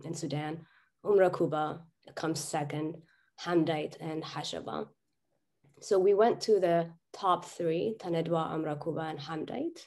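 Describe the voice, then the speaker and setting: A young woman giving a slide presentation online, her voice a little raspy as she gets over a cold. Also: low-pitched, mellow. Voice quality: slightly raspy. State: getting over a cold, voice worn from chanting. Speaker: guest speaker, doctor. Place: online video conference.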